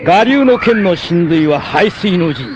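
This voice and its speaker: A man playing a cocky, free-spirited, fearless martial-arts warrior in a dramatic 1980s anime, with theatrical, rough swagger. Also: sits high, lively intonation, a little slow, lo-fi sound, background noise. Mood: defiant, bold.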